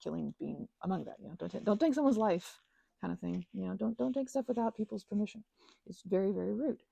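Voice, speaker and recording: Mellow, husky woman rambling casually. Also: mid-range voice, expressive, fast, no room sound, highs cut off. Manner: meandering, trails off into asides. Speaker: ASMR and audio channel creator. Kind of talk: rambling casual chat to listeners.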